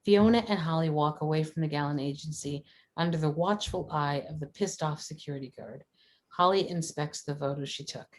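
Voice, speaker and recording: Low-voiced woman reading stage directions. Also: brisk. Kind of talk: scripted screenplay narration at a table read.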